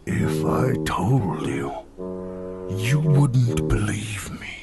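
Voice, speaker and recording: A man voicing a gigantic, gentle abominable snowman in an audio storybook, solemn and gravelly, with a halting, drawn-out delivery. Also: fairly low pitch, sing-song, loud music behind the voice, heavily acted. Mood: slow, solemn. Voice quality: gravelly, creaky.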